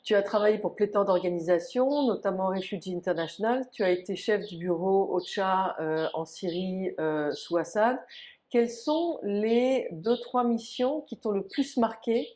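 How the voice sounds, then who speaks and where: A woman's voice: medium pitch, normal speed, a little mellow. A woman hosting a podcast, asking her guest direct, polite interview questions. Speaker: podcast host.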